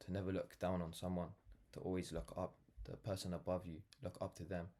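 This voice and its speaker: Very deep-voiced young London man. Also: little pitch movement, fairly quick, warm tone. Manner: rambling, earnest, peppered with "like" and "you know". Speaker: vlogger, brand founder. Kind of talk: casual reflective monologue to camera.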